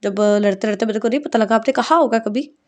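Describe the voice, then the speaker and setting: A woman's voice: on the high side, fast, lightly acted. Woman doing a matter-of-fact younger sister. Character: cheeky younger sister, calm and reasoning.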